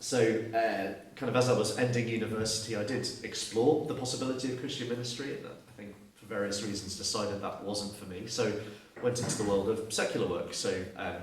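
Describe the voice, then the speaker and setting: A man's voice: on the low side, steady tone, brisk, hardly any pauses, heavy reverb, distant mic, poor audio. A man in his thirties from Surrey with a Southern English accent, giving an earnest, reflective personal testimony and often restarting his sentences. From Surrey, southeast England. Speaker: guest speaker.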